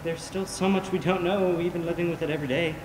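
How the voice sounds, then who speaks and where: A woman on stage playing a gentle, wry young patient who is ailing. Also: on the low side, steady tone, quick pace, background noise, close to an everyday voice. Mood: reassuring. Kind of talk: amateur stage play acting. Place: large theatre stage, indoors. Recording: echoey theatre stage, music over voice.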